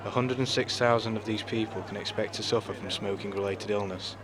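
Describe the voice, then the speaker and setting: A man giving measured, serious scripted documentary narration. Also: on the low side, fairly even intonation, crowd noise. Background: guitar music.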